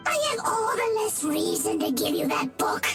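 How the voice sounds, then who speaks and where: A small, sly hooded mage creature in a game cutscene. He speaks slowly in a very high, wildly swooping voice, sometimes stammering. Loud game music sits right under him. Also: big pitch swings, echoey room. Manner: slow, scheming, shifts to frightened stammer. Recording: loud game score behind the voice.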